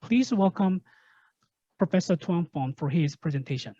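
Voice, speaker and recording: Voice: medium pitch, expressive, normal speed, mellow, dry recording. Formal webinar moderator on a dry call. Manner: formal, reads from a script. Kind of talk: introducing speakers. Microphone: video call microphone. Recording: dry, dull video call audio.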